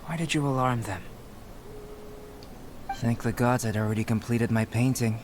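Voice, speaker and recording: A man voicing an aloof, composed young painter in a video game, measured and detached. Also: mid-range voice, a little hiss or hum, natural voice. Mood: mildly reproachful.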